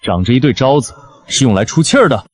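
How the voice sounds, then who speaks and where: A man playing a brash, hot-tempered, teasing general in a period animated drama, cocky and blunt. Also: medium pitch, fast talker, slightly rough, soft background music. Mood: menacing.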